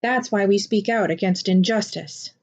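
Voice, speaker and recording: Storybook role: steady, caring dad read by a woman, serious and gentle, dull lo-fi sound. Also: mid-range voice, moderate pace, natural voice. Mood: steady resolve. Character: calm, grave, caring father. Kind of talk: picture book read aloud.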